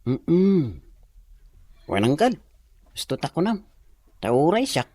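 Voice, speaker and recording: A man's voice: fairly high pitch, sing-song, slow pace, dark tone, breathy edge, dry recording, exaggerated voice. A man playing an easygoing village man in Ilocano radio drama, speaking casually and conversationally.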